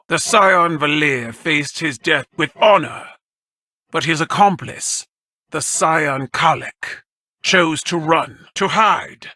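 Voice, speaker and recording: Slow, forceful alien warlord. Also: male voice, fairly high pitch, no echo, full character voice. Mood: stern condemnation. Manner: declamatory, solemn, imperious. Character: proud, commanding alien warlord addressing soldiers. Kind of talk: dramatic video game voice acting.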